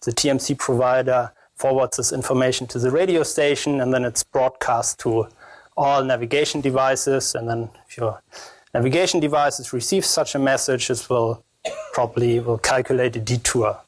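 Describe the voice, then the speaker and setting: German-accented young man, halting presenter. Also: mid-range voice, steady tone, no echo. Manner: halting, hesitant non-native phrasing. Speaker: conference speaker, PhD researcher. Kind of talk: technical conference presentation.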